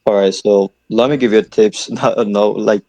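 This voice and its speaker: A man's voice: on the low side, steady tone, a little slow, darkish tone, no room sound. A man giving casual advice on an online voice call, often repeating his words. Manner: repeats words while advising.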